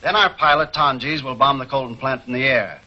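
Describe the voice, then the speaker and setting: An older man playing a cold, scheming syndicate boss in a 1940s film serial, brisk and commanding. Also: medium pitch, bright, forceful tone. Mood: cold, businesslike. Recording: dull, hissy 1940s film soundtrack.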